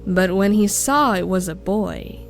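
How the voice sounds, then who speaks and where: A woman's voice: medium pitch, unhurried, vocal fry, faint music behind. A woman reading scripted storybook narration as an audiobook narrator.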